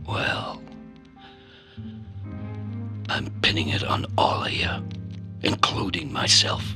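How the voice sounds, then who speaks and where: An actor playing a hardboiled, cynical, brooding police detective in a noir radio play. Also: male voice, rumbling low.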